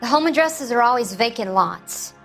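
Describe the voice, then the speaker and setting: Brassy, wheedling crook with a highish voice. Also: female voice, animated, normal speed, a bit bright, faint music behind. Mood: evasive. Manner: slangy, flirtatious, wheedling. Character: brassy, wheedling female car-theft suspect, slangy and self-pitying. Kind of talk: 1940s crime drama game acting.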